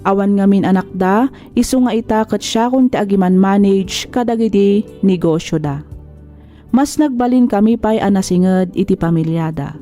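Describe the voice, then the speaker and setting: A woman's voice: medium pitch, moderate pace, warm tone, soft background music, lightly acted. A woman acting as a young woman telling her life story in scripted Ilocano drama narration, with a Filipino Ilocano accent. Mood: reflective.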